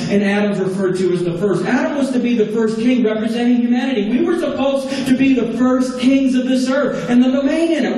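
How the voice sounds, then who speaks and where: Guy preaching, high mellow voice, repeats stuff for emphasis, far away in a really echoey little church. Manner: earnest, repeats key phrases for emphasis. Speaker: pastor. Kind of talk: sermon. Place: small church sanctuary. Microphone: far camera at back of room. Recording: echoey room, distant camera, faint hum.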